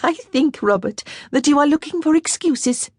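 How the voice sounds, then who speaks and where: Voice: high-pitched, animated, normal speed, dry recording, clean studio sound, voice pushed up. A narrator doing a teasing young French girl. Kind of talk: audiobook character voice.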